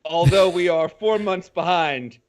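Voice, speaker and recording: A man's voice: high voice, unhurried. A man guesting on a podcast, upbeat as he plugs his own show. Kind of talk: casual chat. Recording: dull lo-fi audio.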